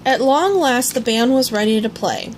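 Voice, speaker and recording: A woman reading a children's story aloud in an expressive storytelling manner. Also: on the high side, normal speed, slight background noise. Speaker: storybook reader. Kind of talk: scripted children's story narration, read aloud.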